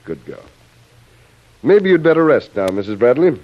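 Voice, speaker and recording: An actor playing a commanding island boss, suave, measured and patronizing, in a classic radio drama. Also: male voice, mid-range voice, big pitch swings, close to an everyday voice. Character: smooth, commanding male island boss, outwardly fatherly. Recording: muffled old radio recording.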